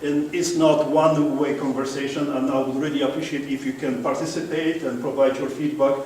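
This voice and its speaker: A man's voice: medium pitch, level tone, a little fast, darkish tone, slight background noise. A man from Poland with a strong Polish accent giving a seminar talk, keeps checking that the audience agrees with him. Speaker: guest speaker. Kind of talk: seminar presentation. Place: meeting room. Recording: echoey room from far off.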